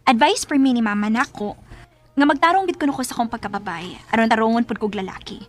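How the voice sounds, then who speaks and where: A woman's voice: on the high side, fast talker, close to an everyday voice. A woman acting an earnest letter sender who narrates her life story in a radio drama, with a Cebuano accent. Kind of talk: first-person scripted radio drama narration. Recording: music playing behind the voice.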